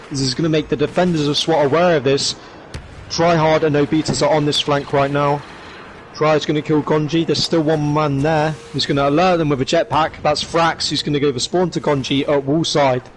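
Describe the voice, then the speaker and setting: A hoarse man calling a competitive shooter match play by play, his voice worn from shouting. He sits a little higher than most men and jumps into quick, eager bursts as the action heats up, with a faint hum of noise behind him. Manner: rapid excited calls with rising bursts. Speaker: esports commentator. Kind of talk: play-by-play commentary.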